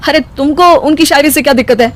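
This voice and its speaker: A man voicing an easygoing, dismissive young husband in a cartoon comedy story, brushing things aside briskly. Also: extremely high pitch.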